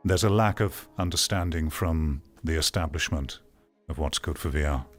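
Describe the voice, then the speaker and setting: A man's voice: a bass voice, moderate pace, soft background music. A British man giving casual, critical reaction commentary in a blunt, sardonic manner.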